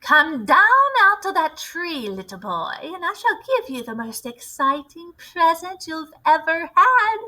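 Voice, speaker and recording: A woman voicing a sinister witch in a storybook, coaxing a child with drawn-out words. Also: very high-pitched, very animated, sharp tone, pitched above the performer's own voice, full character voice, poor audio. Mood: menacing coaxing.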